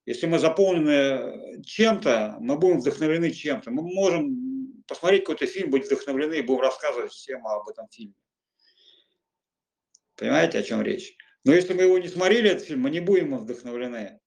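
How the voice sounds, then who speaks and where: Мужчина-монах, неторопливо наставляющий, с запинками. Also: male voice, fairly high pitch, expressive, darkish tone, poor audio. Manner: halting, many fillers and restarts. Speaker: monk giving spiritual guidance. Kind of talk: informal spiritual talk.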